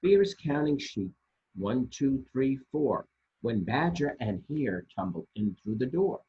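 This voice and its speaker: A man reading children's picture books aloud as a storytime host, expressively and deliberately, with dramatic pauses and a husky voice. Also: mid-range voice, big pitch swings, mellow, highs cut off. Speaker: storytime reader for a library.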